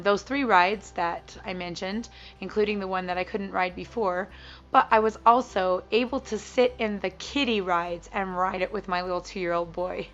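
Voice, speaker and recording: A woman in her thirties vlogging casually to camera, her voice gravelly and froggy from strain, chatty and sappy and quick to laugh. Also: mid-range voice, fairly bright tone, dull audio. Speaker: weight-loss vlogger.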